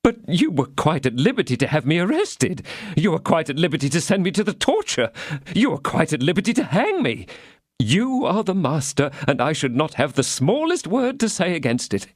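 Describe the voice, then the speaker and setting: A timid middle-aged mercer gushes in a thin, high, anxious voice, as a man puts him on. He sounds set back from the mic and swings his pitch widely. The recording is dry. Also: big pitch swings, normal speed, dry recording. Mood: disbelieving. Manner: anxious, gushing, disbelieving.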